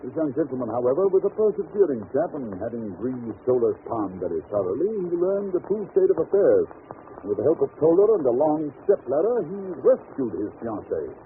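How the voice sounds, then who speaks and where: An actor playing a clever, commanding English detective in an old-time radio drama, speaking in an imperious, clipped, theatrical way. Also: male voice, mid-range voice, very animated, fairly quick, natural voice. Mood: matter-of-fact, explanatory. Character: brisk, commanding, clever English male detective, middle-aged. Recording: muffled, noisy vintage radio recording.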